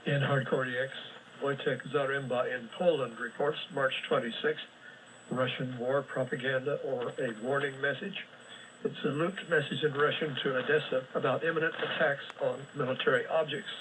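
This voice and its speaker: A man with a bright, forceful voice reads shortwave radio news in a brisk, matter-of-fact way, slipping in dry sarcasm. His voice sounds distant, carried over a shortwave relay with faint noise beneath it. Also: mid-range voice, steady tone. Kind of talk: reading listener reports and frequency listings.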